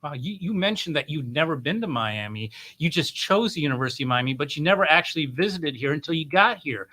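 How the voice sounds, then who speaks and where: A man's voice: a little high, lively intonation, fast, bright, forceful tone. A middle-aged man hosting an interview, asking questions in a casual, conversational style. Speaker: interview host.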